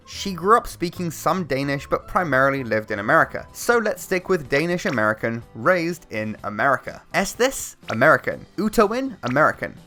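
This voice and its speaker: British man, scripted commentary, critical and measured, bright voice, slightly high, music under. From Britain. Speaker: video essayist.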